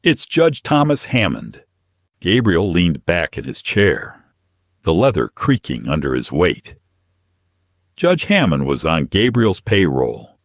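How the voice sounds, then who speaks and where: Male narrator, very low pitch, dramatic scripted storytelling, expressive intonation, dry close recording. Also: slow pace, dry recording.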